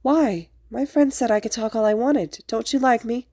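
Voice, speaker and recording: A woman voicing a childlike, playful boy in a story, excitable and innocent with theatrical flair. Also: on the high side, normal speed, dry recording, studio quality, pitched above the performer's own voice. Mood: mock hurt. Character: childlike, playful, theatrical hero-student boy among villains.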